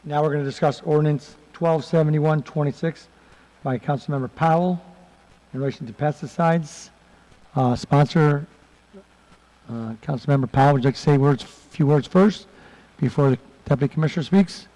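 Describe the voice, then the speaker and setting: A man chairing a city council committee meeting, calling on members and moving through votes in a halting, procedural way. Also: on the high side, dark tone, in a large hall, rough recording. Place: council chamber.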